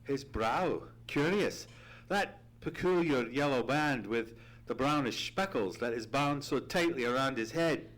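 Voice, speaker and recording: An earnest gentleman doctor telling a mystery for old-time radio. His middle-pitched voice is formal and theatrical, built on suspense and easily stirred to horror. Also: expressive, unhurried, rough recording. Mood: tense, suspenseful. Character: Doctor Watson, male narrator, earnest, easily horrified. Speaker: narrator. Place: theatre stage, live radio play.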